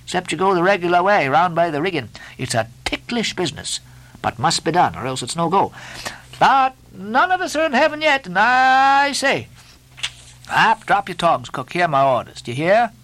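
A man's voice: high-pitched, big pitch swings, moderate pace, a bit bright, voice pushed up, heavily acted, poor audio. A man voicing a bossy, mocking ship's officer in a sea novel, badgering theatrically with nautical commands and mock-solemn questions. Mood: teasing, commanding.

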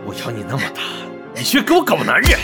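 A man's voice: medium pitch, wildly swooping pitch, quick pace, over loud music. A man playing a furious, domineering middle-aged father in an audio drama, harsh and barking orders.

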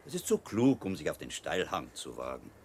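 An actor playing a shrewd, middle-aged learned friar in a radio play, a wry and self-assured mentor who explains things precisely. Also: male voice, sits low, huge pitch swings, a little fast, darkish tone, a little hiss or hum. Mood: courteous, assured.

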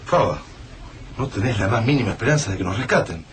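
A man's voice: fairly low pitch, expressive, fast talker, a little hiss or hum, close to an everyday voice. An actor playing a middle-aged survivor who leads his family, speaking tensely in a radio play. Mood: worried. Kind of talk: radio drama acting.

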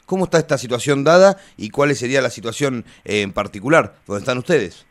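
A man's voice: medium pitch, expressive, a little fast. A man with an Argentine accent hosting a radio interview, asking long rambling questions padded with fillers. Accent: Argentine Spanish.

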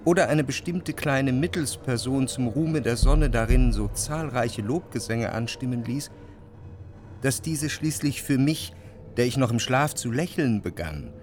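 An actor playing a reflective, melancholic man narrating his memories in a literary radio drama, measured and introspective. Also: medium pitch, slight background noise, lightly acted. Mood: dreamy, reflective. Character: reflective, melancholic male narrator recalling his past life.

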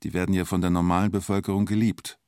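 Deep-voiced male storyteller, an actor's role. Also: little pitch movement, fast, a little mellow, clear, resonant tone, dry recording, clean studio sound, close to an everyday voice. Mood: wry, observing. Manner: colloquial, clipped short phrases. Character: male storyteller recalling the bank siege, wry and colloquial. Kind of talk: scripted radio drama narration in present tense.

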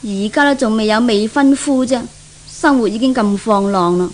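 Woman acting high-voiced, outspoken maidservant. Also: normal speed, natural voice. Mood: gossipy, disapproving. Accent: Hong Kong Cantonese. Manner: frank, grumbling. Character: outspoken, gossipy maidservant who grumbles about her mistress. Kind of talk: 1970s Cantonese radio drama acting.

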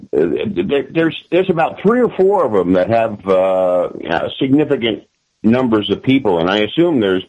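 American guy with a deep-ish voice, political interview guest, stops and restarts a lot. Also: highs cut off. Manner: hesitant, halting with repeated words. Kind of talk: interview answers, political commentary.

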